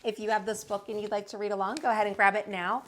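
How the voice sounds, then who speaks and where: A woman's voice: medium pitch, flat delivery, quick pace, a little hiss or hum. A woman hosting a storytime, reading a children's picture book aloud as a teacher.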